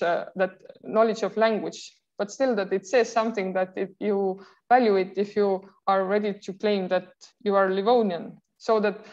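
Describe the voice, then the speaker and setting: A woman's voice: mid-range voice, darkish tone. A woman in her thirties with an Estonian accent, giving an online university lecture with frequent filler sounds and restarts. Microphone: video call. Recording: muffled video call audio.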